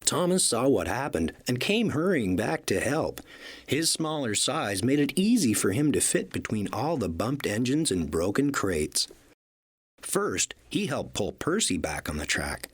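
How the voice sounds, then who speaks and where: Husky man narrating a children's story, animated. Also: mid-range voice, measured pace.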